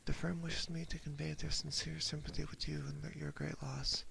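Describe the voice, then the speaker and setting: A man voicing an uneasy company messenger in a horror story, stiff, measured and apologetic. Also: a little high, flat delivery, creaky, sharp tone, slight background noise, full character voice. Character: formal, uneasy male company messenger bearing bad news.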